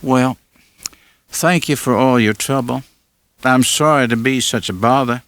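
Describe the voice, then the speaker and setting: A man's voice: medium pitch, unhurried, a bit bright, dry recording, voice pushed up. A man voicing an anxious but determined young woman in an audiobook, earnest and polite. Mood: polite, resigned.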